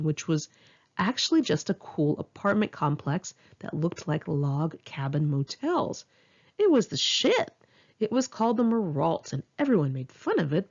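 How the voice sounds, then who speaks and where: Woman narrating her own life story, lowish voice that moves around a lot, wry and chatty, kinda muffled homemade recording. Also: a little mellow. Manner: candid, wry, conversational, profane. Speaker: author reading own memoir aloud. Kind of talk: informal first-person audiobook narration with asides.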